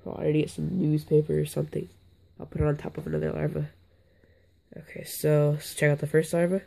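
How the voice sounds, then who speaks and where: A young man, hoarse from being sick, talks his way through a casual floor-level vlog. His voice is gravelly and dark, and it stays flat and monotone. He rambles and often breaks off mid-sentence to restart. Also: a little high, fast talker. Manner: rambling, self-interrupting, offhand. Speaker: bug-keeping vlogger. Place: indoors, on a carpeted floor.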